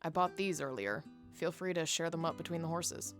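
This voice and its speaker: A woman playing a gruff, guarded bounty hunter in a western visual novel, curt and dry. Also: low-pitched, normal speed, natural voice. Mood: friendly. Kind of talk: visual novel character acting. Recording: guitar music behind the voice.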